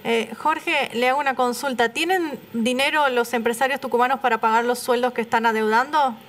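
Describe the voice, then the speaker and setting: A man's voice: very high-pitched, fairly even intonation, brisk, a bit bright. A man putting questions to a guest in a live news interview.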